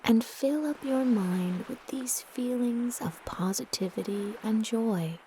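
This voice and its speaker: A woman narrating a guided meditation in a soft, breathy, gentle and soothing manner with long pauses. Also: a little high, slight background noise, rough recording.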